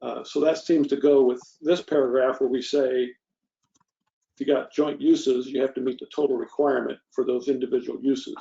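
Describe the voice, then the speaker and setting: Man, soft dark tone, creaky, methodical meeting talk, dull lo-fi sound. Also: mid-range voice, little pitch movement, normal speed. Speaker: planning commission member. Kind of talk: informal meeting discussion.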